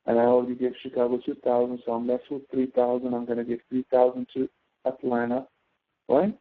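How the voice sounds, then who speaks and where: A man's voice: mid-range voice, completely flat delivery, a little fast. Male tutor on a tinny phone-like line. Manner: explanatory, often checks understanding with tag questions. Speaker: instructor, tutor. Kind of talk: step-by-step spreadsheet tutoring.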